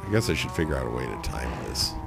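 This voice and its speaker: Raspy low-voiced man with a cold. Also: sing-song, fast talker, loud music behind the voice. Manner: rambling, thinking aloud with many fillers. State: hoarse from a cold. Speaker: let's play host. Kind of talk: casual gameplay commentary.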